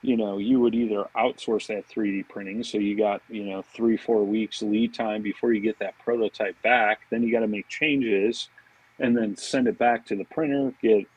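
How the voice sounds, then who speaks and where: A man's voice: lowish voice, fairly even intonation, moderate pace, slightly rough, dry recording, dull audio. An American man talking casually as an interview guest, rambling informally and often dropping in "you know" and "man". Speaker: podcast guest, fishing products marketing director.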